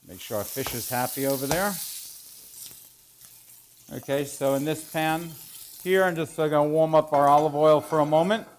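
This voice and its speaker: A man in his forties, a chef instructor, explaining each step in a relaxed way as he cooks in a large teaching kitchen. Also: on the high side, normal speed, pauses often, slight background noise, poor audio. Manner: relaxed, explanatory, thinking aloud while working. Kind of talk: cooking demonstration. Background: food sizzling in a pan.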